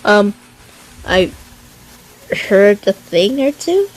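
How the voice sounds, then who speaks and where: A woman doing casual playthrough commentary and reading game dialogue aloud, a little halting. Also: medium pitch, sing-song, rough recording. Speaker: gaming video creator. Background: game music.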